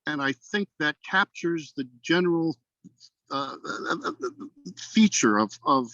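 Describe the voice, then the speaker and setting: Male poetry reader, formal and deliberate, unhurried pace, dry but dull lo-fi call sound. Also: a little high, very animated, pushed and bright, dry recording. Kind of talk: measured poem recitation, then thoughtful comment.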